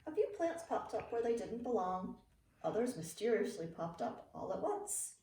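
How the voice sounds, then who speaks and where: Middle aged lady teacher reading a picture book to little kids, lively up and down voice, echoey, bit of hiss. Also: on the high side, normal speed. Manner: expressive, clearly phrased storytelling for young children. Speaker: early learning teacher reading to students. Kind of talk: children's picture book read-aloud. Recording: roomy, distant mic with faint noise.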